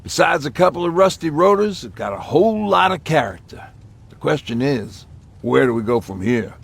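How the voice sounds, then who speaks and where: A weathered old man in a game drama. His voice is deeply creaky and husky, with an easygoing, folksy delivery. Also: big pitch swings, normal speed, exaggerated voice. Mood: wry. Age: older. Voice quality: gravelly, husky. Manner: folksy, reminiscing. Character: weathered, easygoing old male survivor and mechanic. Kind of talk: game voice acting, conversational.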